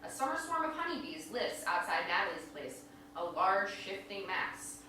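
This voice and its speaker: A woman's voice: mid-range voice, big pitch swings, moderate pace, pushed and bright. A woman reading scripted stage directions as narrator of a live staged reading. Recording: distant, very echoey room, faint noise.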